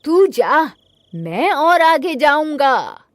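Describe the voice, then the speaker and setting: A woman's voice: high-pitched, big pitch swings, very slow, dry recording, studio quality, exaggerated voice. A woman voicing a greedy, stubborn village man in a cartoon story, insistent and dismissive. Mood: impatient, stubborn.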